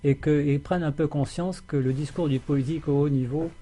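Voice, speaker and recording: A man with a slightly high, mellow voice that carries a husky edge. He comments earnestly on a political radio talk show, stating his opinions in a measured manner. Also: steady tone.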